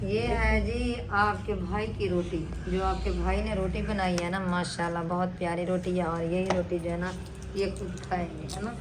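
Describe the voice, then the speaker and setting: A woman from Pakistan, chatting on a family village vlog. She has a sore throat and talks warmly, calling her husband the viewers' brother. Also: lowish voice, steady tone, normal speed, noisy background. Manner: warm, chatty, addresses viewers as "your brother". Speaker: family vlogger. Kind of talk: casual family vlog chat. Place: rural village home.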